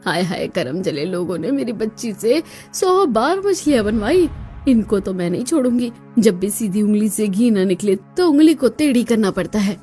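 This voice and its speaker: A woman's voice: mid-range voice, big pitch swings, normal speed, mellow, close to an everyday voice. Lady doing a scheming cartoon mom, dramatic and scolding, super protective, faint music behind. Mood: indignant. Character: scheming, fiercely protective middle-aged mother of a bride. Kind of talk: Hindi cartoon story dubbing.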